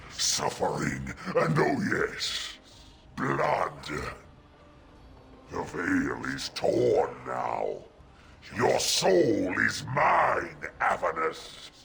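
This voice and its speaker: A man's voice: low-pitched, huge pitch swings, drawn-out words, a bit bright, full character voice. A man playing a gloating, bloodthirsty demon in a fantasy video game, savoring his words theatrically. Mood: gloating menace. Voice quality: gravelly. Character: gloating male demon, ancient, bloodthirsty and menacing. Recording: game audio with music underneath.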